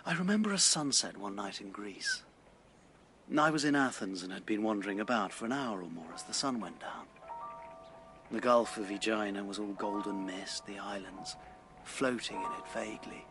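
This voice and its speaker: An actor playing a proud, melancholy, impoverished Victorian writer in a radio drama, with an earnest, literary English delivery. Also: male voice, fairly low pitch, moderate pace, fairly bright tone, soft background music, close to an everyday voice. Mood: dreamy, earnest. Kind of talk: BBC radio drama acting.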